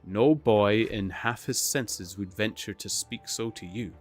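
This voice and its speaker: A man's voice: lowish voice, a little slow, faint music behind, close to an everyday voice. A man reading aloud and voicing a fretful, pious middle-aged woman. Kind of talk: storybook reading.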